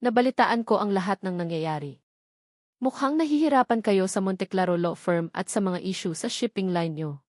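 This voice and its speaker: A malicious, smirking businessman villain from an audio drama, acted out by a woman narrator. He is slyly polite and insinuating, offering false help. The voice is mid-pitched and recorded dry. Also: animated, moderate pace, darkish tone, dry recording, close to an everyday voice. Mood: sly malice.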